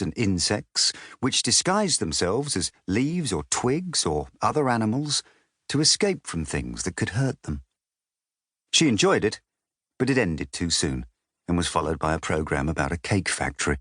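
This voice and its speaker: A man's voice: fairly low pitch, fairly bright tone, no room sound, clean studio sound. A man narrating a children's storybook as a scripted audiobook.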